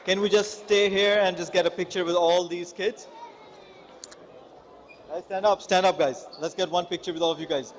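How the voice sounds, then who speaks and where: A man hosting a gala, switching between English and Hindi-Urdu, firing off rapid thank-yous and announcements. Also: sits high, steady tone, a little hiss or hum. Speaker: event host. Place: banquet hall.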